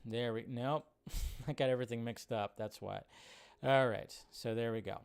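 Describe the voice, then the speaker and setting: A man's voice: medium pitch, steady tone, moderate pace, creaky, clean tone. A man hosting a live stream with casual, enthusiastic, rambling commentary over a video.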